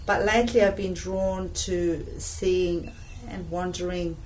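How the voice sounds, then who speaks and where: A woman from Australia in casual chat about her drawing, reflective and halting, laughing often. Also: lowish voice, little pitch movement, darkish tone. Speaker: business owner talking about her drawing. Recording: distant mic with faint background noise.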